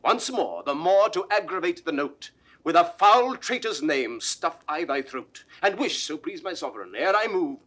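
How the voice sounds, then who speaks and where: An actor playing a fierce, proud young noble accuser in declamatory Shakespearean verse. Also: male voice, on the high side, expressive, normal speed. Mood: accusing, defiant. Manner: declamatory, forceful, ringing delivery. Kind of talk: classical Shakespearean verse acting, 1960s recording.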